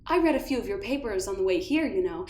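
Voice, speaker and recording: A woman's voice: medium pitch, animated, fast, darkish tone, lightly acted. A woman playing a smug weapons developer in an audio drama, gushing and arch with false politeness. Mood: smoothly condescending. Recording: roomy, echoing sound.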